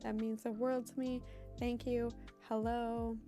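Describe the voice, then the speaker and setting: A woman live-streaming as a medium, chatting casually with her viewers in a meandering way, her voice hoarse and giving out at times. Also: a little high, flat delivery, unhurried, warm tone, soft background music. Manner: chatty and meandering, heavy on fillers like "um" and "like".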